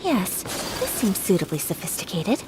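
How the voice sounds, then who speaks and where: A woman's voice: medium pitch, sing-song, normal speed, noisy background, natural voice. An actress playing a poised, refined, courteous young woman in a video game, speaking formally. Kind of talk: video game character lines.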